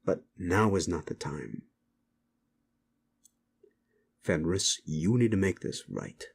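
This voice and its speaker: A man playing a stern, weary keeper of an underworld club in an audio drama, measured, scolding and authoritative. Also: sits low, sing-song, vocal fry, husky, full character voice. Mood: weary, firm. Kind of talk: dramatic audio roleplay acting.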